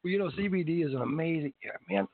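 A man's voice: a little high, quick pace, slightly rough. A man chatting casually as a guest about hemp, rambling with lots of restarts and small laughs. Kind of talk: casual interview chat. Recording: dry tinny phone-like sound.

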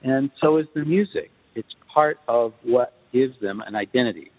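A man answering interview questions as a guest, speaking in a measured, thoughtful way in short deliberate phrases. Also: mid-range voice. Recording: muffled phone-like line with reverb tail.

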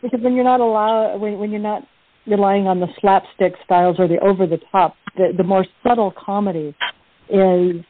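A woman explaining things in a casual interview over the phone, haltingly and often repeating her words. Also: mid-range voice, fairly even intonation, no echo. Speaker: cast member. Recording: tinny phone line.

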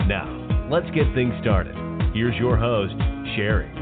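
A man's voice: on the low side, takes it slowly. A man reading a scripted intro voice-over as a show announcer. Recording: tinny band-limited audio. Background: loud music.

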